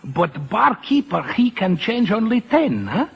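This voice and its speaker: High-voiced, swooping jolly Mexican fellow. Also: male voice, big pitch swings, a little slow, gravelly, husky, muffled recording, full character voice. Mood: ingratiating. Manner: effusive, laughing, broken English. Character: jolly, tipsy, big-hearted Mexican carousel operator. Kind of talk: 1940s radio acting. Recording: old radio drama broadcast.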